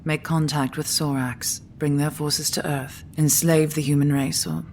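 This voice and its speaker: An actress playing an immortal woman leader in a sci-fi audio drama, intimate and hushed, tender yet weary and resolute. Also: low voice, flat delivery, unhurried, a little hiss or hum. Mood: grave. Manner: intimate, hushed, often slipping into whisper. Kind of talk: full-cast sci-fi audio drama acting.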